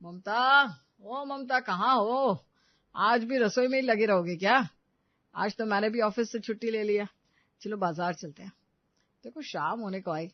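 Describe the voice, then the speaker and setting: A man's voice: high-pitched, unhurried, dry recording, dull audio. A man playing a grumbling but indulgent middle-aged husband and father in a moral story. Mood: eager.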